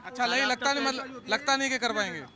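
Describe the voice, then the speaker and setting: A man's voice: very high-pitched, fast talker, a crowd in the background. A man working as a street reporter, interviewing passers-by casually with probing follow-up questions. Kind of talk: vox pop interviewing in casual Hindi. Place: outdoors on a street. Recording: outdoor street recording.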